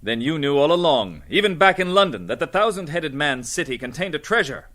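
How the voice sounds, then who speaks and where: Bold old radio adventure hero, forceful n animated, barks clipped orders. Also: male voice, a little high, a little fast, no room sound. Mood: stern, suspicious. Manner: commanding, clipped, decisive. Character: bold, shrewd adventurer hero leading his men. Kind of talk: old-time radio adventure serial acting.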